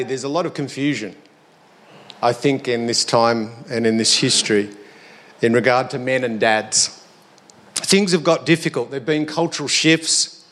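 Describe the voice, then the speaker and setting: An Australian man giving a sermon in a conversational, reflective way, his voice a little hoarse and croaky. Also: mid-range voice, a bit bright. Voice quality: slightly croaky. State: slightly hoarse. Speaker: preacher. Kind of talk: sermon to a congregation.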